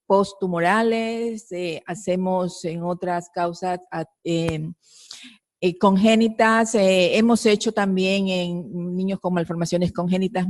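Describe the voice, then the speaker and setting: A woman's voice: fairly low pitch, a little mellow, dry recording. A woman from Ecuador, a pediatric neurosurgeon, presenting a case at a conference, hesitant with many fillers.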